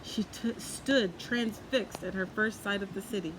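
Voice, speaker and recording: A woman's voice: mid-range voice, a little slow, a bit bright. Woman reading a storybook over background noise. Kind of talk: children's storybook narration. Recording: clearly noisy background.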